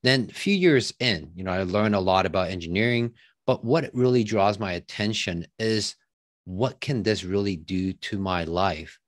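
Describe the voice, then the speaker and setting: A man, telling his story as a podcast guest in a relaxed, chatty way with many fillers. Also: sits low, moderate pace, no room sound, clean studio sound. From Taiwan. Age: 30s. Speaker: podcast guest, real estate investor. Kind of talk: casual interview chat.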